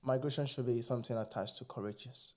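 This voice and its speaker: A man's voice: mid-range voice, fairly even intonation, fast talker, darkish tone. A man with a Nigerian accent, whose first language is Igbo, talking earnestly and with animation as a guest in a casual podcast chat.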